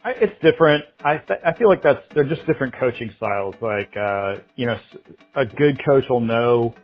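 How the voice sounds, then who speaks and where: A man in his thirties speaking as an interview guest, halting and reflective, restarting his sentences often. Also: mid-range voice, expressive, phone-quality sound, faint music behind. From United States. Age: 30s. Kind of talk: candid interview.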